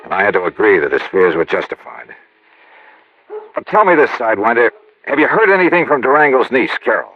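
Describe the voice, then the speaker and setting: An actor playing a brisk American investigator, narrating and questioning in a 1950s radio drama. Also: male voice, on the low side, sing-song. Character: brisk, inquisitive insurance investigator hero who narrates. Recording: muffled old radio recording.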